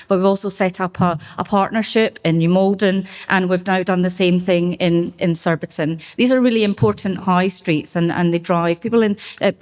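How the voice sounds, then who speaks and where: A middle-aged woman with a Scottish accent gives an unscripted speech at a business event, halting often with fillers and restarts. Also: on the low side. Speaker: council member speaking at a business expo.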